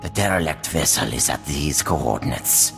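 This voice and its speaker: An actor playing a dying, ruthless old crime lord in a video game, speaking slowly and gravely with a breathy, gravelly voice. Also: male voice, a bass voice, animated, a bit bright, faint music behind, exaggerated voice. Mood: grave, weary. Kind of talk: video game character acting.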